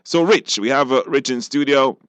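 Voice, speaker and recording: A male radio host with a Belizean accent runs a live call-in show, addressing his guests and listeners. His voice sits at an ordinary male pitch in a dry, close studio sound. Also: normal speed, a bit bright, smooth, no echo.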